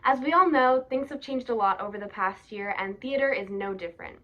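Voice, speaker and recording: A woman's voice: on the high side, brisk, sharp tone, lo-fi sound. A young woman giving a prepared welcome speech as student director of a play. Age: young adult. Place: small plain indoor room.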